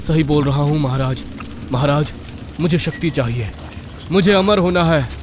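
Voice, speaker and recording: A man voicing an evil, devil-worshipping school principal as a melodramatic animated villain, fervent and menacing. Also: a little high, moderate pace, noisy background, natural voice. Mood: pleading. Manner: chanting, pleading, menacing.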